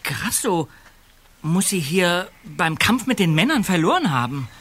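A man's voice: high-pitched, sing-song, a little slow, fairly bright tone, husky, exaggerated voice. An actor playing an alert, casual teenage boy detective, second-in-command of a young trio, in a radio play. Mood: curious. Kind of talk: German radio play acting.

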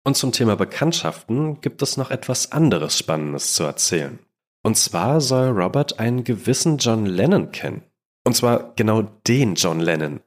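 Low-voiced man narrating a true-crime podcast. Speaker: podcast co-host.

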